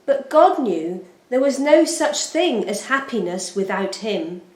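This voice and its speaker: Lady narrating a kids bible story, real slow and solemn, echoey sound. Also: a little high. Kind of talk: expressive scripted children's Bible story narration. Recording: roomy, echoing sound.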